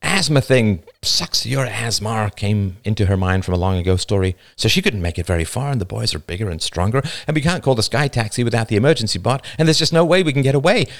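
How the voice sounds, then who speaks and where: A man's voice: fairly low pitch, lively intonation, quick pace, few pauses. A man voicing the anxious inner thoughts of a frightened young girl, stumbling over words as her mind races. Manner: anxious, racing thoughts with stammered restarts.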